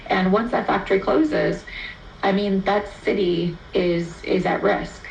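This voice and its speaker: Creaky-voiced woman reporter on distant radio. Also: medium pitch, measured pace, echoey room. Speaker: economics reporter. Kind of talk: explanatory radio interview. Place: played through a shortwave receiver speaker. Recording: AM radio playing in a room, distant, noisy.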